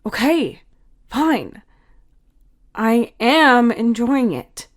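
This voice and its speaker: A woman's voice: on the high side, big pitch swings, very slow, voice pushed up, exaggerated voice. Woman as a bashful boy, secretly curious, easily embarrassed, defensive, hesitant, storybook character. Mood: flustered, defensive.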